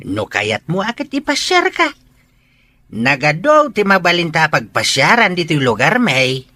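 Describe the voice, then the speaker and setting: A man's voice: a little high, huge pitch swings, measured pace, pushed and bright, dry recording, exaggerated voice. A man with a Filipino accent playing a teasing, folksy older village uncle in a radio drama. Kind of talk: Ilocano radio drama acting.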